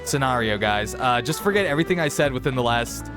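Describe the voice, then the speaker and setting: A man's voice: medium pitch, lively intonation, quick pace. A man doing casual, rambling, self-deprecating reaction commentary as a game streamer. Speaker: game streamer, let's-play host. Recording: game music playing behind.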